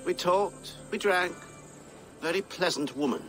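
A smug middle-aged abbot from a video game. He is jovial and scheming, with a bright, fairly high voice and pompous, oily delivery. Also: unhurried, soft background music, rough recording. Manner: unctuous, pompous, savouring words. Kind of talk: video game cutscene acting.

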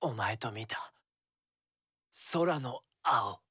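An actor playing a carefree, romantic young wandering warrior with a tender streak in an action game, theatrical, breezy and poetic. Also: male voice, medium pitch, animated, drawn-out words, bright, forceful tone, husky, no echo, clean studio sound, exaggerated voice. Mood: wistful. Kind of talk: Japanese action game voice acting.

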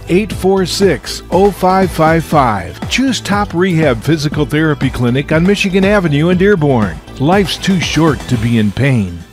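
A man reading scripted radio commercials in a smooth, clearly paced delivery. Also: fairly high pitch. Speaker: commercial announcer.

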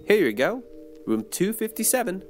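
Guy doing an eager little bellboy kid, high voice, radio play. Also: very animated, moderate pace, soft background music. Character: eager young boy bellhop, about ten.

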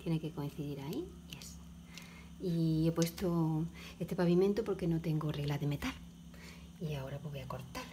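Brief: lower-pitched woman explaining a DIY build while working, halting, echoey home room with noise. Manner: halting, talks while working, frequent restarts and soft asides. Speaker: DIY video maker. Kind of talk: step-by-step craft demonstration. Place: home kitchen, indoors.

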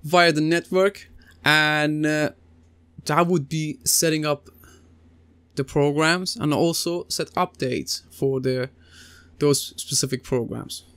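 A man in his thirties explains networking over slides in a loose, chatty way, stopping on "uh" and restarting his sentences. His voice is smooth and clear, a little higher than most men's. The room sounds dry and close, recorded near a desk camera. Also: steady tone, takes it slowly, fairly bright tone, dry recording. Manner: conversational, many uh fillers and restarts. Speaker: computing teacher. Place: small indoor room, seated at desk.

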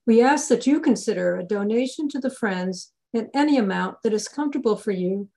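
A woman's voice: a little high, expressive, brisk, vocal fry, dark tone, poor audio. An older woman, president of a library group, reading a scripted welcome announcement over a video call.

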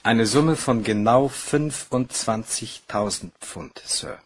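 An actor playing an embittered, determined bank cashier in a crime radio play, speaking in a brisk, commanding, husky way. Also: male voice, mid-range voice. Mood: formal, grave. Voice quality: gravelly, husky.

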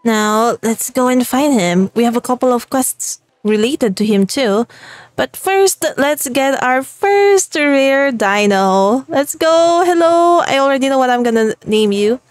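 A young woman with a Filipino accent talks her way through a game, upbeat and chatty and quick to laugh. Her voice sits a little high. The sound is close and dry, with no room around it. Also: expressive, no echo. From Philippines. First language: Tagalog. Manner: cheerful and chatty, laughs often. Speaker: gaming channel host. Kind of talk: let's play commentary.

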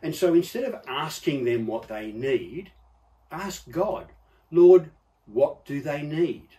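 A man in his fifties gives a conversational sermon in a mellow, relaxed voice. He explains each point with deliberate stresses and pauses. The microphone sits a little way off from him. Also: fairly high pitch. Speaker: preacher. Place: book-lined study or office indoors. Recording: slightly distant microphone.

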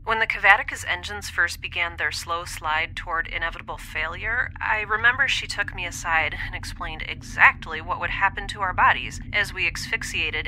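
Actress as lonely young woman in space, very crisp bright tone, lowish pitch, wistful, hesitant, near-whispered audio diary drama. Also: a little hiss or hum, heavily acted, low-quality recording. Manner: hesitant, introspective, often dropping toward a whisper. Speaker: podcast audio drama lead. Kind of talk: intimate audio diary monologue.

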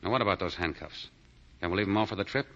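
Deep, cool radio convict. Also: male voice, quick pace, a bit bright. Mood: wry, resigned. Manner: laconic, understated. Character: cool, wry, smooth-talking condemned convict. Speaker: radio drama actor. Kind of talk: 1940s radio acting. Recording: dull 1940 radio broadcast recording.

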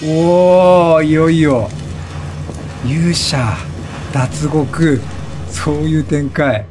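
A man giving casual, excitable let's play commentary, chatty and reactive, often repeating short phrases. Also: on the high side, very slow. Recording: game music behind the voice. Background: video game music.

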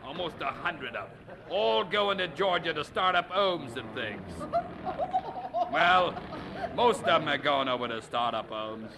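An actor playing a gruff, grumbling ship captain with rough working-class speech in a radio drama. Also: male voice, fairly high pitch, lively intonation, a little slow, background noise. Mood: surly.

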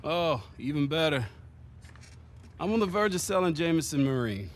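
A man's voice: fairly high pitch, lively intonation, normal speed, a little hiss or hum, close to an everyday voice. A man playing a genial, middle-aged business owner in a video game, folksy and pleased. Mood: pleased, relaxed. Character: contented, genial small-business boss eyeing retirement. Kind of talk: video game cutscene acting.

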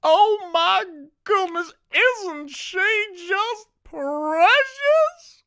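A woman plays an overbearing stage mother who gushes over her little girl in a very high, sugary voice. She draws out her words slowly and heaps on the sweet talk, in a comedy sketch. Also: expressive, a bit bright, heavily acted. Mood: gushing. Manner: effusive, sugary, calls her child pet names. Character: gushing doting stage mother, adult woman.

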